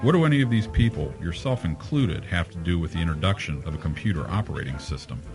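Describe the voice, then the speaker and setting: A man playing a gruff, low-voiced police commissioner. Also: lively intonation, fast talker, a little mellow, soft background music. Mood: dry, dismissive. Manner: brusque, sarcastic, impatient. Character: gruff, irritable male police commissioner, blustering and dismissive. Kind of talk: audio mystery drama acting.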